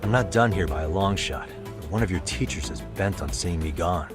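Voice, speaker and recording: Man playing a low-voiced, laid-back private detective. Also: moderate pace, lightly acted. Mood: persuasive, frank. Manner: casual, wry, reasoning. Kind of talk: video game voice acting. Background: background music.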